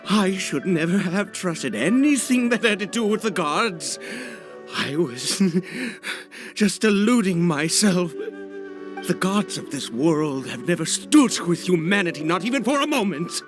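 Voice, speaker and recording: An actor playing a desperate, obsessive father in a video game, speaking in a halting, stammering, nervous mutter. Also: sits high, lively intonation. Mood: bitter despair. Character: desperate, obsessive father brewing medicine for his son. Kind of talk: dramatic video game voice acting. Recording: dialogue over background music.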